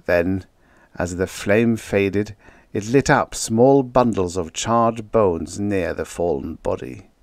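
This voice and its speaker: A man with a low voice narrates vintage science fiction in a measured, dramatic storytelling manner. The recording is close and dry, with very little room around the voice. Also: darkish tone, no echo. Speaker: audiobook narrator.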